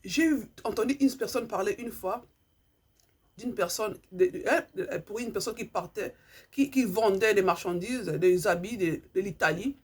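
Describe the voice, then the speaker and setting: Husky-voiced woman. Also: medium pitch, moderate pace. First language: Lingala. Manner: earnest, repeats herself, hunts for words. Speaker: vlogger. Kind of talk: impassioned monologue to subscribers.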